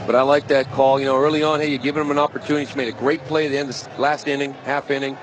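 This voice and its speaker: A man's voice: medium pitch, level tone, rapid-fire. A man giving conversational baseball color commentary on a live broadcast, colloquial and fond of posing questions. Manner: colloquial, poses questions, talks directly to listener. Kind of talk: conversational live sports analysis. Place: ballpark broadcast booth. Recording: noisy live stadium broadcast. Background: clear stadium ambience.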